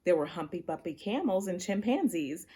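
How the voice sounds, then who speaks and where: Animated woman reading to children. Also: fairly low pitch, moderate pace, husky. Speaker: teacher reading aloud to children. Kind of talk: expressive children's poem read-aloud.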